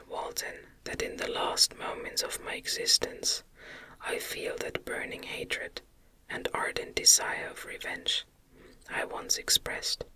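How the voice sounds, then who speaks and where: Woman, breathy ASMR whisper, very crisp bright sibilance, gentle audiobook narration, soothing. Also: poor audio.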